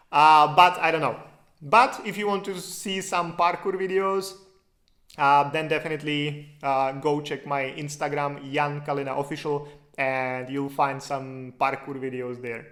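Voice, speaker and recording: A man's voice: a little high, flat delivery, unhurried, fairly bright tone. A man from the Czech Republic speaking English with a Czech accent, hosting a casual live question-and-answer stream in a loose, chatty way with lots of fillers. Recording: roomy echo.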